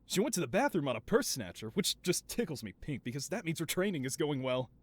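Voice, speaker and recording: A man's voice: a little high, sing-song, quick pace. A man playing a flamboyant, doting mad scientist supervillain boss in a scripted audio roleplay, with a theatrical, wheedling, self-justifying manner. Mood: doting, gleeful.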